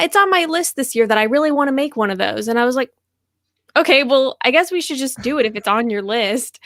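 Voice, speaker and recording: A woman's voice: a little high, a bit bright, dry recording, studio quality. A woman chatting casually as a podcast guest, explaining her own creative project. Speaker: podcaster and audio drama producer, guest. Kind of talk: casual chat.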